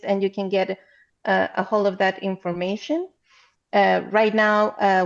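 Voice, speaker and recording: A woman from Guatemala with a Guatemalan Spanish accent, presenting remotely at a conference in a halting, explanatory way. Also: mid-range voice, a little mellow. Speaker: council chair speaking as panelist. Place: remote, on a video call. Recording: slightly dull video-call audio.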